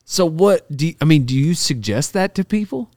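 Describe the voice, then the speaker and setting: A man's voice: on the high side, lively intonation, moderate pace, darkish tone, no room sound. A man hosting a podcast, asking questions in a casual, conversational style. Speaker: podcast host, interviewer.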